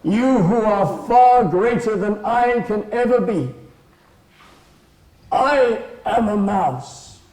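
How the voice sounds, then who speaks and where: A man's voice: high voice, lively intonation, slow, a little mellow, voice pushed up. A man voicing a small, brave and humble male mouse in a folktale, speaking earnestly and simply. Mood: solemn, brave. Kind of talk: oral folktale storytelling. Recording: roomy, echoing space.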